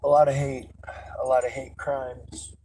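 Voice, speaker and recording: A man's voice: medium pitch, level tone, unhurried, rough recording. A man with a nasal voice talking casually while he drives, rambling and then ranting indignantly. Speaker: vlogger. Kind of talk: casual vlog while driving. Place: inside a moving car. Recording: loud car road noise.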